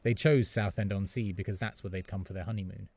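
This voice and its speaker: An actor playing a lonely, wistful man who narrates in the first person with a reflective, measured manner. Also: on the low side, steady tone, vocal fry, darkish tone, no echo. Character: melancholy adult brother narrating, lonely and wistful.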